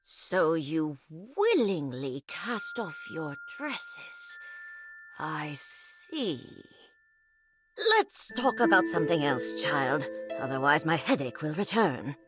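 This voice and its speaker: A woman's voice: sits low, sing-song, takes it slowly, music in the background, heavily acted. A woman playing a haughty, weary noblewoman steward in a video game, with a formal, aloof and condescending manner. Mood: curious, condescending.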